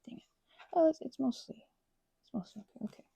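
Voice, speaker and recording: A woman chats quietly while she works on a craft, drifting from tangent to tangent and breaking off mid-sentence. Her voice is dark, mellow and heavily gravelly, caught close on a dry mic with craft clicks behind. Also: sits high, lively intonation, a little fast, no room sound. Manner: meandering, self-interrupting, soft whispers and mild exclamations. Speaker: ASMR creator. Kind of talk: soft rambling ASMR craft chat.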